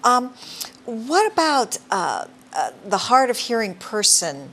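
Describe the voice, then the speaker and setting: A woman's voice: fairly high pitch, very animated, takes it slowly, a bit bright. A middle-aged woman hosting a talk show, chatting casually with her guest, joking and responding often. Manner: chatty, jokey, many backchannels. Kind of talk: casual interview chat.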